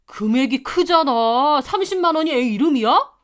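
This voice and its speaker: A woman's voice: high-pitched, animated, normal speed. A woman voicing a defensive, stubborn husband, curt and argumentative.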